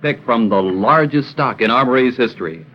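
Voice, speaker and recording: A man giving a scripted old-time radio sales pitch as a car dealer. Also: expressive, moderate pace. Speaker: car dealer advertising spokesman. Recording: muffled old radio recording.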